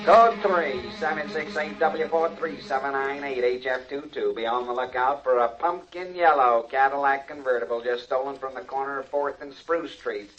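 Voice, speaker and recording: An actor playing an official police radio dispatcher, reading bulletins in a clipped, formal way on old-time radio. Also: male voice, mid-range voice, normal speed, hardly any pauses, fairly bright tone, highs cut off, lightly acted. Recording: vintage radio drama with music.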